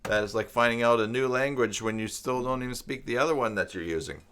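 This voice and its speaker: A man's voice: medium pitch, fast talker, a bit bright, smooth. A middle-aged man hosting a casual live stream, rambling and thinking aloud as he reads and chats with viewers. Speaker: live stream host, self-employed web tutor.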